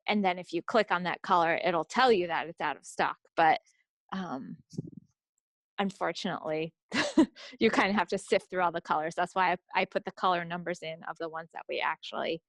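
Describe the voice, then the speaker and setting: A woman's voice: fairly low pitch, moderate pace, no room sound. A woman co-hosting a knitting podcast, chatting informally and off the cuff. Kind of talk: casual, unscripted craft chat.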